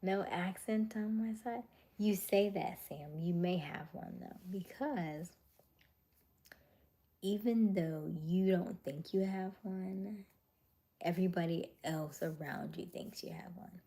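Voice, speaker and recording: A young adult woman hosting a casual livestream, chatting with viewers in a chatty way with frequent laughs and soft, whispery stretches. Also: medium pitch, slow. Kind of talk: casual live chat reading viewer comments.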